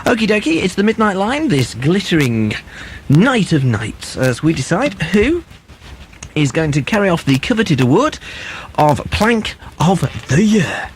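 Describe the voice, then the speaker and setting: A man's voice: high-pitched, sing-song, a little slow, a little hiss or hum. A man hosting a late-night radio phone-in, chatting casually and jokingly echoing his caller's words. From Merseyside. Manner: jokey, repeats the caller's words back.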